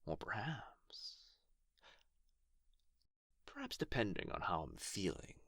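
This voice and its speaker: A man's voice: lowish voice, wildly swooping pitch, slow pace, creaky, a bit bright, husky, no echo, studio quality, heavily acted. A man playing a proud, pompous male dragon in a fantasy ASMR roleplay, with archly formal, theatrical phrasing. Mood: sly, possessive. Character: proud, haughty injured male dragon, grudgingly grateful, teasingly possessive.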